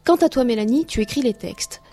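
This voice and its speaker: A woman's voice: high voice, brisk, warm tone, soft background music. A woman interviewer asking formal, prepared questions.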